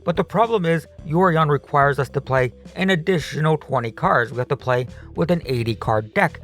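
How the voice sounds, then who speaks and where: A man's voice: a little high, moderate pace, a bit bright, faint music behind. A man with a voice he says he is losing, casually explaining deck upgrades in a chatty voice-over that often repeats itself. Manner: chatty, hedging, repeats himself. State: losing his voice. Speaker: card game deck upgrade guide host.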